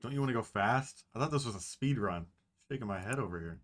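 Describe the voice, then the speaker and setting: A man's voice: mid-range voice, expressive, quick pace. A man co-reading a fanfic, joking in casual chat. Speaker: co-reader.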